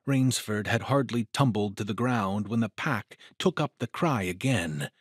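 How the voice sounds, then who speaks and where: A man narrating an adventure story in a dramatic, deliberate, suspenseful way. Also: fairly low pitch, lively intonation, breathy edge, no room sound, clean studio sound.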